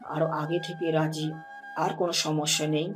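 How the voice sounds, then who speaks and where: A woman's voice: low voice, level tone, moderate pace, lightly acted. A woman playing a pleased, agreeable older father of a bride in an audio story. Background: background music.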